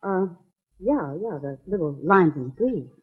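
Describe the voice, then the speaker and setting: A detective's teasing companion in an old radio drama. She is playful and skeptical, with a lively, swooping delivery. The 1940s recording is thin and tinny. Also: lowish voice, unhurried, husky, exaggerated voice.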